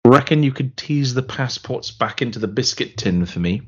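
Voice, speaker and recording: A man co-hosting a podcast, chatting casually and reading out a listener email with a dry, deadpan delivery. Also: medium pitch, moderate pace. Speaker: podcast co-host. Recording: dull lo-fi podcast audio.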